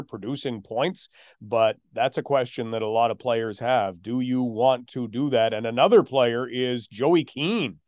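A man hosting a hockey podcast as a play-by-play announcer, telling stories in a measured way with drawn-out emphasis. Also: medium pitch, a little mellow, dry recording, studio quality. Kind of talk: sports podcast conversation.

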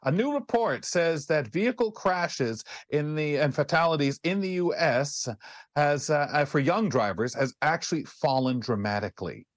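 A man's voice: a little high, animated, moderate pace, no room sound, clean studio sound. A man hosting a casual panel chat in a rambling, conversational way. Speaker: talk show host.

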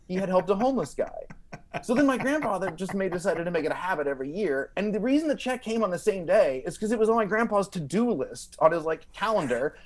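A man telling comedic stories as a podcast guest, casual and animated, acting out quotes as he goes. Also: high-pitched. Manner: animated, acts out quotes with frequent like and you know.

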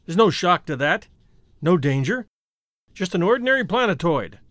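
A man's voice: high-pitched, very animated, moderate pace, fairly bright tone, no echo, studio quality. A man playing a frightened, tormented man in an audiobook who fights for his sanity with agitated, emphatic repetition. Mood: anxious reasoning.

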